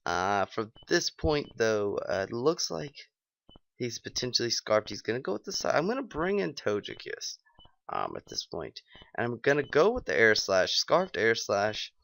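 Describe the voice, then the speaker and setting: A man's voice: mid-range voice, wildly swooping pitch, moderate pace, vocal fry, a bit bright. A young man with a sick, scratchy, stuffed-up voice, casually commenting on his own online game battles and thinking aloud with many ums. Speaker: gaming channel host.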